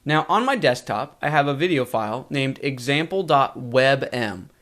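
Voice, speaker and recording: Casting: male instructor, mid-pitched with animated intonation, measured scripted lesson read, clear enunciation. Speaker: web design course instructor. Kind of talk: scripted tutorial narration.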